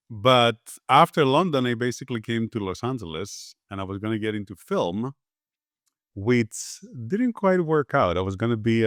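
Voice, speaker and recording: A man from Greece answers an interviewer's questions in an easygoing way, pausing on fillers and restarting his sentences. His voice sits low and sounds smooth and resonant. It is recorded dry and close. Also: dry recording. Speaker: podcast guest, agency founder.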